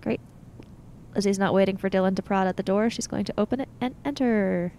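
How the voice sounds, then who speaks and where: A woman's voice: fairly quick, darkish tone. A woman narrating and role-playing her character in a tabletop role-playing game. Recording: low rumble and background noise.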